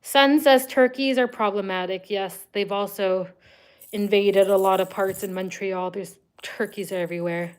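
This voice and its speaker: Smooth-voiced woman chatting on a livestream. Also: mid-range voice, steady tone, moderate pace. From Canada. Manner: chatty, frequent "like" and "um" fillers. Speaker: livestream host answering viewer comments. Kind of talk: casual livestream chat.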